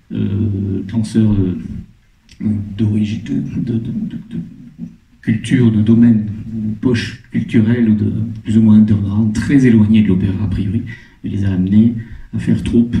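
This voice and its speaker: Halting man in an echoey cinema hall. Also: fairly low pitch, steady tone, creaky, mellow, far from the mic. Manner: halting, many restarts and fillers. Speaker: film producer at a screening Q&A. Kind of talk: casual onstage talk to an audience. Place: large cinema hall, on stage. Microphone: handheld microphone over the hall PA. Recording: echoey hall heard from afar.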